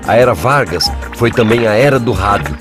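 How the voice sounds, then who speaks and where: A man's voice: lowish voice, animated, moderate pace. A man with a Brazilian accent reading a scripted educational history narration as a documentary narrator. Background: music.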